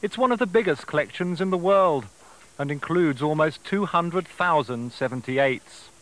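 Higher-pitched English male news reporter. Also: moderate pace, fairly bright tone, low-quality recording. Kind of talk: scripted news narration. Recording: music behind, home off-air TV recording.

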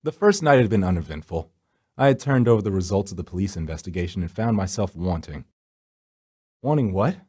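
Low, wry horror narrator, acted by a man. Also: lively intonation, brisk, darkish tone, slightly rough, no echo. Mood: dry, sardonic. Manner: dry, sardonic, theatrical. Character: wry, secretive male hired finder from the edge of death. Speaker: narrator and lead character. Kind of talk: first-person horror visual novel narration. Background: background music.

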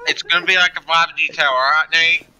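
A man's voice: fairly high pitch, fairly even intonation, pushed and bright, highs cut off. A man joking around with friends in a voice chat while playing a game, slipping into silly put-on voices. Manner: goofy, puts on silly voices and slow drawls. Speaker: gamer in a group voice chat. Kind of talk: joking banter among friends.

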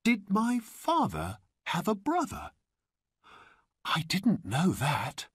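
A man's voice: on the high side, wildly swooping pitch, takes it slowly, husky, dry recording, studio quality, pitched above the performer's own voice, heavily acted. A man voicing an innocent, curious young boy in a storybook, with a simple, earnest manner. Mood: surprised.

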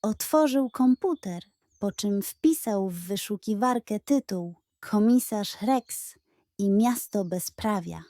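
Slow, mellow woman storyteller. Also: mid-range voice, expressive, no room sound, studio quality. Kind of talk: scripted children's bedtime story narration.

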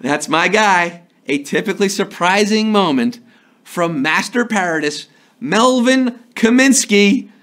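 Playful slightly hoarse male quiz host. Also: high voice, animated, a bit bright. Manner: playful, jokey, slips into quick impressions and sung bits. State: slightly hoarse from a sore throat. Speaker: trivia game show host. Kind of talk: upbeat live quiz hosting. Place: studio before a green screen.

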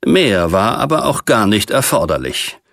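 A man narrating a science fiction novel as an audiobook, reading in a measured, precise literary manner. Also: lowish voice, sing-song, vocal fry, fairly bright tone, no room sound, studio quality.

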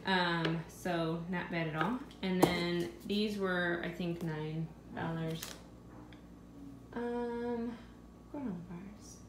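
A woman in her thirties chats casually about her groceries, often stopping to recall prices. Her voice sits a little low. The sound is roomy, with a clear hum of household noise behind her. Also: slow pace. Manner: chatty, thinking aloud about prices. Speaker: vlogger. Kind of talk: casual grocery haul narration. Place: home kitchen. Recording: echoey room with steady background noise.

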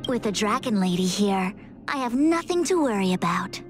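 A woman's voice: mid-range voice, lively intonation, moderate pace, music in the background, natural voice. A woman voicing a proud, formal young fortune-teller strategist in a video game, imperious and precise.

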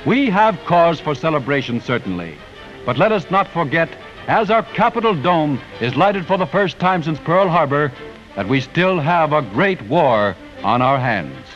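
A man with an American accent and a fairly high voice narrates a vintage newsreel. He declaims the script with solemn patriotic weight. The sound is dull and old, with music running underneath. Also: big pitch swings, measured pace, fairly bright tone.

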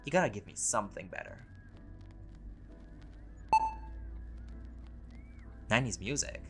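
A man's voice: lowish voice, expressive, rapid-fire, fairly bright tone, soft background music. Man acting as laid-back, wry centuries-old vampire. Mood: teasing, exasperated. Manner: casual, wry, sweary, bantering. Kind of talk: conversational roleplay audio drama.

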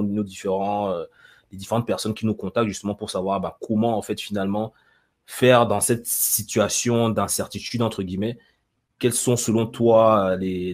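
A man in his twenties hosting a conversational live interview over a headset, wordy and often rephrasing himself. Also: fairly low pitch. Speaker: host, interviewer. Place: indoor room, video call.

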